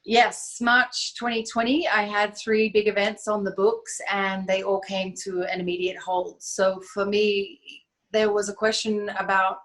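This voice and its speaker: A woman answering interview questions as a business founder, measured and reflective, sometimes restarting a phrase. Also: mid-range voice, little pitch movement, fairly bright tone, low-quality recording. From Australia. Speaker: guest, event company founder.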